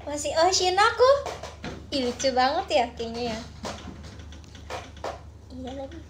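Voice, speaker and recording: Playful young woman, high voice. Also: lively intonation, a little slow, slight background noise. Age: 20s. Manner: playful, musing, drifts into soft murmurs. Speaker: idol livestreamer. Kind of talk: casual late-night livestream chat. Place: small bedroom at night. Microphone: phone at arm's length.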